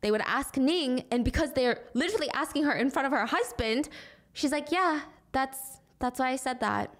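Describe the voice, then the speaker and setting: A young woman narrating a true crime case into a studio mic at home, animated and acting out the quotes. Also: sits high, moderate pace. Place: small room at home, indoors. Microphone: studio mic on boom arm.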